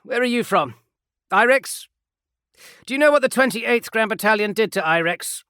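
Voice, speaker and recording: A man's voice: sits high, expressive, moderate pace, bright, forceful tone, clear, resonant tone, dry recording, clean studio sound, pitched above the performer's own voice. A man voicing a principled young space marine who argues with impassioned, pleading defiance. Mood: probing.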